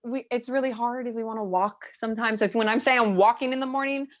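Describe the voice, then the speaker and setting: A woman's voice: fairly high pitch, fairly even intonation, fast. A woman around thirty, a podcast guest chatting candidly and informally about her own life. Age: 30s. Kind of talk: casual chat, personal storytelling.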